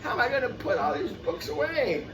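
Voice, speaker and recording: A man's voice: very high voice, sing-song, fairly quick, roomy sound. A man reading a storybook as a flustered male library volunteer, exclaiming dramatically. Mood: dismayed. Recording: noisy, distant microphone.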